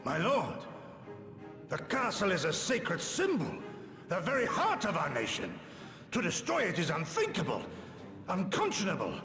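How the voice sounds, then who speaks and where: An impassioned, high-pitched loyal retainer. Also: male voice, huge pitch swings, moderate pace, heavily acted. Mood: distraught, pleading. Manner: formal, impassioned, protesting. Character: anguished loyal male retainer pleading with his lord. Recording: roomy, long reverb, faint music.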